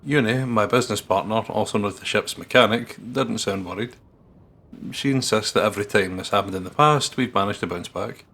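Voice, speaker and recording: A man's voice: lowish voice, brisk, fairly bright tone. A man co-hosting a let's play, casually reading game text aloud with laid-back, joking asides. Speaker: co-host partner on a gaming channel. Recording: faint background noise and ambience. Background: faint noise, ambience.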